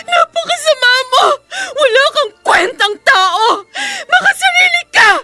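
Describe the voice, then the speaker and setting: An actress playing an anguished woman in a radio melodrama, crying and shouting bitter accusations. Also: very high voice, sing-song, unhurried, music in the background, exaggerated voice. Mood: anguished, accusing. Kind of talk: melodramatic radio drama acting.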